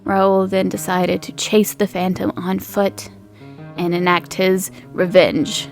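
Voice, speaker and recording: A woman's voice: medium pitch, level tone, slow, faint music behind. A woman playing a slangy, dramatic cryptid podcast host, narrating a scripted recap. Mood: chatty, dramatic. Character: chatty storyteller cryptid podcast host named Gaston Leroux, boyish, theatrical.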